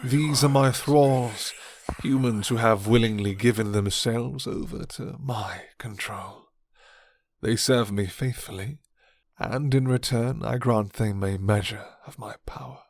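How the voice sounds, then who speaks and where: A man voicing a suave, commanding vampire lord in an ASMR roleplay, with formal, breathy, half-whispered menace. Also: mid-range voice, a little slow, a little hiss or hum, lightly acted. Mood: proud.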